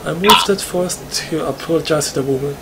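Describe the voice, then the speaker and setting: A man reading visual novel narration aloud on a live stream, haltingly and with stumbles. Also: on the high side, heavy background noise. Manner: halting, stumbling over the script.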